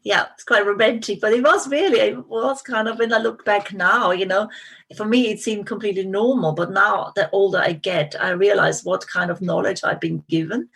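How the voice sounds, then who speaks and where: A middle-aged woman from Austria with an Austrian German accent, a guest telling her story in a relaxed interview with many small restarts and fillers. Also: medium pitch, normal speed, creaky, slightly rough. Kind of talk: interview conversation.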